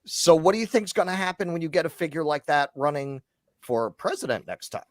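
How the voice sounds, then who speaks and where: A man's voice: a little high, expressive, a little fast, no echo, studio quality. A man hosting a political podcast, making points emphatically and repeating them for force. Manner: emphatic, argumentative. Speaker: podcast host.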